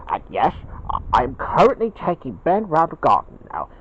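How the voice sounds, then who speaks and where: A man's voice: a little high, huge pitch swings, moderate pace, husky, full character voice. A slightly muffled home recording of a man playing a cartoon dad bear. He is patient and encouraging, murmuring along with hums and hesitations, his intonation moving freely. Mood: chatty, reflective. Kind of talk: homemade cartoon dialogue.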